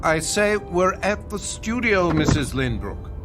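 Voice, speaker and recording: A man's voice: high voice, sing-song, unhurried, music in the background. An actor playing a patient, cautious chauffeur in a radio drama, polite and hesitant with his employer. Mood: deferential.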